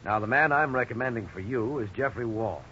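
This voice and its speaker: An actor playing a polite, businesslike legal official in a 1950s radio drama, formal and precise. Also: male voice, medium pitch, a little fast, pushed and bright, lightly acted. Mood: courteous, businesslike. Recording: dull, noisy 1950s radio recording.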